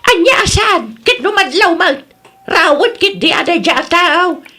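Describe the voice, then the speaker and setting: A woman acting a nagging, no-nonsense housewife in a comedy radio drama. Her voice is high, with an Ilocano accent and a scolding village bluntness. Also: expressive, a little slow, sharp tone, soft background music, exaggerated voice. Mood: complaining.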